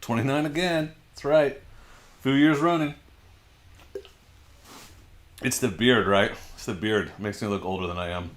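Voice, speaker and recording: A man's voice: mid-range voice, a little slow, pauses often. A man in his forties hosting a casual livestream from his home garage, chatting and answering viewer comments as he reads them out on a desk microphone. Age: 40s. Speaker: livestream host.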